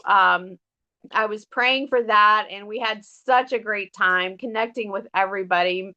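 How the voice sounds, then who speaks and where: A middle-aged woman speaks with a voice still scratchy from a recent illness. Her tone is bright and forward, and her delivery is earnest and grateful, full of fillers. The call audio sounds dull and lo-fi. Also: medium pitch. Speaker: team member sharing her story. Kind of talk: casual testimonial on a team call.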